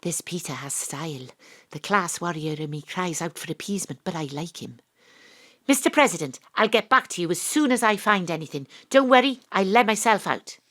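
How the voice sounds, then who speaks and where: A woman's voice: lowish voice, expressive, fairly quick, a bit bright. An actress playing a dry, sardonic woman detective who questions suspects and narrates her case in an audio drama. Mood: probing, brisk.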